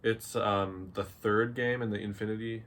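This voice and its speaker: Man, fairly deep voice, casual chat, halting and tentative, slight ambient noise. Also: fairly even intonation, fairly bright tone. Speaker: visual novel discussion co-host. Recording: background noise on voice track.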